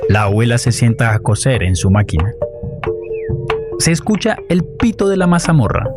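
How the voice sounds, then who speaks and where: A man's voice: mid-range voice, sing-song, normal speed, over music. A man with a Colombian accent reading scripted narration for a radio play.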